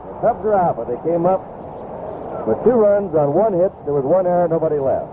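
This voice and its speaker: A thin, noisy 1970s AM radio recording of an American man announcing baseball. His voice sits fairly high, and he calls the play in short, clipped phrases. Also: expressive, a little fast. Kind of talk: live radio play-by-play commentary. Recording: noisy narrow-band 1970s AM radio broadcast.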